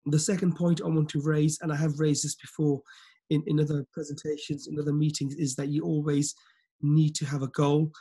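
Croaky man giving a motivational call. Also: fairly high pitch, level tone, fairly quick, warm tone. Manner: repetitive, many fillers and restarts, earnest. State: slightly croaky voice. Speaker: team leader hosting a group call. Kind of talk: motivational talk on a video call. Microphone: laptop webcam on a video call.

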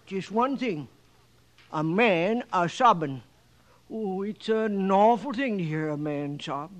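An actor playing a garrulous, excitable livery stable owner in an old-time radio western, with an American Western accent and a rambling, hesitant folksy way of talking. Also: male voice, high-pitched, takes it slowly. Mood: excited, spooked.

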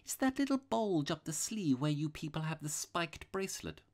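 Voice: medium pitch, expressive, moderate pace, pitched above the performer's own voice, natural voice. A reader voicing a mild, vague little rustic priest in a storybook, unworldly, hesitant and apologetic.

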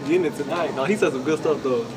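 A man's voice: fairly high pitch, fast. A young man bantering casually in a group discussion. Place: large open indoor lounge. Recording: distant mic with background chatter.

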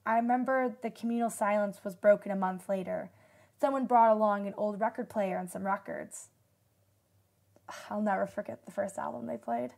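An actress playing a wistful disaster survivor in a radio drama, recalling the past in a measured, reflective way. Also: female voice, medium pitch, fairly even intonation, creaky, a little mellow. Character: female asteroid-disaster survivor recalling shelter life, wistful. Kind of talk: radio drama acting as interview testimony.

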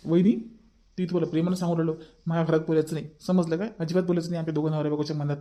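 Cartoon drunkard husband played by a man: surly, curt, extremely quick, dull dark timbre. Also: fairly high pitch, flat delivery, natural voice. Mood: angry, dismissive. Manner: curt, repetitive ordering. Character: surly, drunkard village husband, rude and domineering. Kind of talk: comic family cartoon dialogue.

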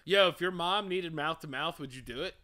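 A young man co-hosting a podcast from home, joking excitably and swearing freely into a studio mic. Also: fairly high pitch, fairly quick, a little hiss or hum. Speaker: podcast co-host. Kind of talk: casual comedic chat. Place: home room, indoors. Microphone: studio mic on boom arm, wearing headphones, remote call.

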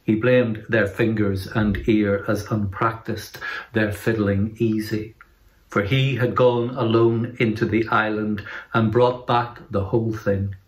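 A middle-aged man reciting poetry in a measured, solemn manner. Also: on the low side, fairly even intonation. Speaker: poetry reader. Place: indoor room. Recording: roomy, echoing indoor sound.

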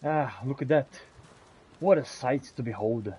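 Mellow man roleplaying through a fantasy game. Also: medium pitch, measured pace, breathy edge. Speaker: gamer roleplaying a character in a video game. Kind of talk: in-character roleplay narration of fantasy gameplay.

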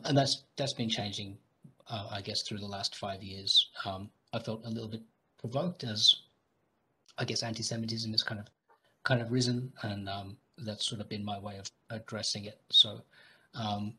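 A man's voice: on the low side, fairly even intonation, moderate pace, sharp tone, slightly rough, low-quality recording. A young adult man speaking on a video call with a headset, hesitant, with many ums. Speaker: panelist. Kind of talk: video call panel discussion.

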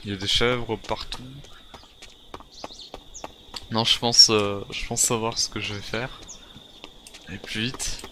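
A man's voice: fairly low pitch, little pitch movement, slow, pauses often. A man improvising first-person roleplay commentary on a game, muttering to himself in short, repeated phrases. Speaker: gaming video creator playing a survivor. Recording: very noisy home recording, game sounds behind.

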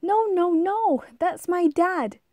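A woman's voice: very high-pitched, mellow, no room sound, clean studio sound, pitched above the performer's own voice. A woman voicing a fretful, insistent young monkey in a rhyming storybook, plaintive and emphatic. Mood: protesting. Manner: plaintive, emphatic, drawn-out protests. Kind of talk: rhyming storybook read-aloud.

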